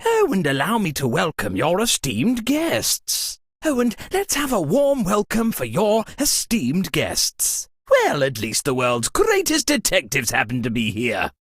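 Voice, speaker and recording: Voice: medium pitch, wildly swooping pitch, a little slow, few pauses, dry recording, studio quality. An actor playing an anxious, exasperated, posh party host in a murder mystery video game, with a theatrical, fretful delivery. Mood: hopeful, flustered. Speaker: game character voice lines. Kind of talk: theatrical comedic game voice acting.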